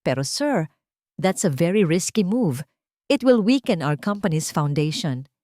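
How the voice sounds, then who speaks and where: A woman's voice: lowish voice, big pitch swings, measured pace, warm tone, no echo. A worried finance officer in an audio drama story acted by a woman, cautious and deferential while giving warnings. The recording is dry, with hardly any room sound. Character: worried, cautious finance chief pleading with his boss.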